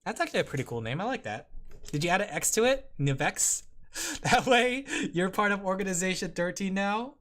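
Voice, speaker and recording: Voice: medium pitch, big pitch swings, a little fast, fairly bright tone. A vtuber streamer who giggles often and chats playfully with viewers while drawing. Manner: giggly, playful, talks to chat. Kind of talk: casual livestream chat while drawing.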